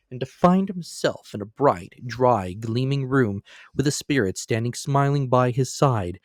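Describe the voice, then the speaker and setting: A man's voice: fairly low pitch, dry recording, studio quality. Man giving a theatrical storybook reading. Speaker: narrator. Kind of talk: dramatic reading of a classic novel.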